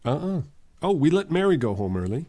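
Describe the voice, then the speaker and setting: A man's voice: fairly high pitch, sing-song, brisk, dark tone. A man playing a genial, affectionate suitor and family man in an old-time radio drama, speaking casually.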